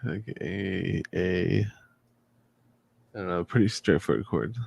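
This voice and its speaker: A man's voice: sits low, slow pace, vocal fry, darkish tone, dull audio. A young man chatting in a laid-back way with short replies as a podcast co-host. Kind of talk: casual chat and singing.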